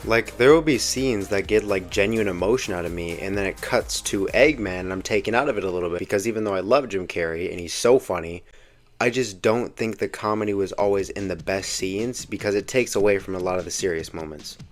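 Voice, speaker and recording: Low-voiced young man reviewing a film. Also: fairly quick. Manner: slangy, informal, earnest fan enthusiasm. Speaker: video essay host reviewing a film. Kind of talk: casual opinion commentary. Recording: music behind the voice. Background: background music.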